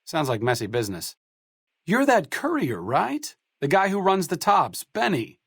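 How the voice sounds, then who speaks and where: A sardonic, learned wasteland doctor in a video game. His delivery is articulate and dryly witty, with pitch that moves around a lot. The sound is close and dry, with no room to it. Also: wildly swooping pitch, measured pace, fairly bright tone, breathy edge, no echo, heavily acted. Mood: guarded, curious. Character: wry, bookish, idealistic male doctor of a post-apocalyptic wasteland. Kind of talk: video game companion dialogue.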